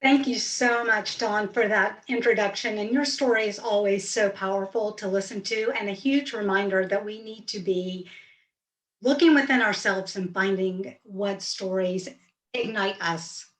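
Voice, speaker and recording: A woman's voice: medium pitch, a little slow, fairly bright tone. Woman, 50s, from rural Georgia, first language Hindi, vivid storyteller, roomy echo. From rural Georgia, USA. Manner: vivid, first-person scene-setting. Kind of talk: personal storytelling. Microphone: video call.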